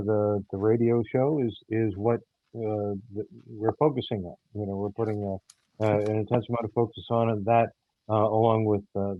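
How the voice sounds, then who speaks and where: A man answering interview questions about a radio show, speaking in a halting way with repeated words. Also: fairly low pitch, dry recording. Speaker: audio theater director and producer, guest. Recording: muffled, phone-like, narrow-band line.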